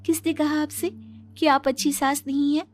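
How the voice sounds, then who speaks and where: A woman's voice: sits high, little pitch movement, moderate pace, a little mellow, soft background music, voice pushed up, close to an everyday voice. A woman voicing a meek, affectionate young daughter-in-law in a moral story cartoon, pleading and deferential.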